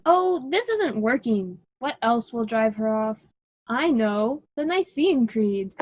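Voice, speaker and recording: Woman doing a vain young greek myth guy, high voice, super melodramatic radio play acting. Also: sing-song, takes it slowly, heavily acted. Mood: exasperated. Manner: melodramatic, declaiming.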